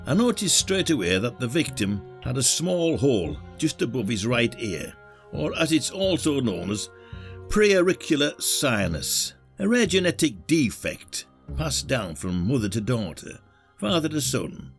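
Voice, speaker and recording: Man playing a cheeky British detective, wildly animated. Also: medium pitch, unhurried, faint music behind. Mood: methodical, accusing. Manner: colloquial, theatrical, needling. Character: blunt, cheeky, shrewd British male police detective. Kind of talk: radio drama acting.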